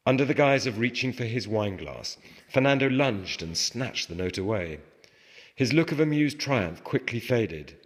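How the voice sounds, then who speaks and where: A man's voice: mid-range voice, lively intonation, moderate pace. A man reading a novel aloud as its author, in a scripted literary narration style, recorded in a reverberant space. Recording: reverberant hall with long echo.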